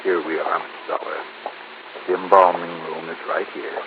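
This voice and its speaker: Male actor as fussy undertaker, very deep voice, formal, hesitant, muffled noisy old radio recording. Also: little pitch movement, moderate pace, lightly acted. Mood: apologetic, formal. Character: fussy, proper, easily flustered male undertaker. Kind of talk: old-time radio drama acting.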